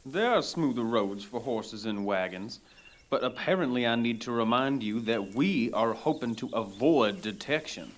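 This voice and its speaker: An actor playing a prim, formal elf guide who speaks in lofty diction. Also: male voice, mid-range voice, animated, moderate pace, close to an everyday voice. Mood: haughty. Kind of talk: audio drama acting.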